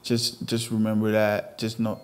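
A man's voice: fairly low pitch, completely flat delivery, a little slow. A man in his thirties from Ghana, answering interview questions in an earnest, matter-of-fact, conversational way. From Ghana, moved abroad at thirteen. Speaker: interview guest, fashion designer. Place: indoor television studio. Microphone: lapel mic.

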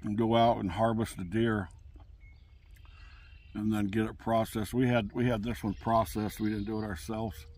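A man's voice: lowish voice, little pitch movement, moderate pace, darkish tone. Laid-back middle-aged man outdoors. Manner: rambling, laid-back, with many fillers and restarts. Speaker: camping vlogger. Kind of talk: casual outdoor cooking vlog. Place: campsite outdoors on open grass. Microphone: camera on a table nearby.

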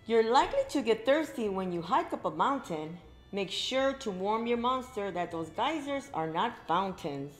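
A woman's voice: medium pitch, lively intonation, moderate pace, soft background music. A middle-aged woman reading a story aloud to young children, expressively, with exclaimed asides to her listeners. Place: indoor library room with bookshelves.

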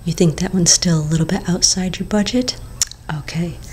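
A woman in her thirties whispering softly and slowly with hesitant fillers, playing a potion shopkeeper in an ASMR roleplay, recorded close to the mic in a small room. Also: on the low side, a little hiss or hum. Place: small indoor room before shelves of bottles. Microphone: close studio mic in front.

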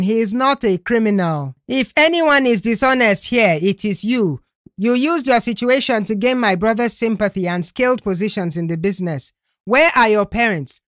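Woman acting out a middle-aged mother, haughty, furious, confrontational, higher-pitched, audio drama, close dry sound. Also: fairly bright tone, no room sound, close to an everyday voice. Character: furious, defiant, indignant middle-aged mother, shouting accusations.

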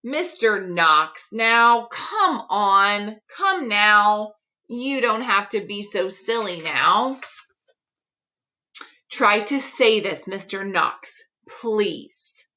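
A woman's voice: mid-range voice, lively intonation, very slow, bright, forceful tone, full character voice. A woman voicing a playful, insistent male storybook fox who coaxes and teases. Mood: coaxing. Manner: coaxing, playful, pushy.

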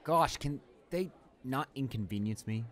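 A man's voice: mid-range voice, animated, a little slow, fairly bright tone. A young man playing an arrogant, irritable student bully in an improvised audio roleplay, with a smug, dismissive manner. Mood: irritated. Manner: smug, dismissive, muttering to himself.

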